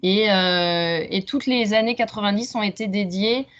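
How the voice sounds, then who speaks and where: A woman in her thirties from Franche-Comté in France, presenting science informally and haltingly on a video call. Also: mid-range voice. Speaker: researcher, doctoral student presenting. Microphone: video call microphone. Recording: muffled video-call audio.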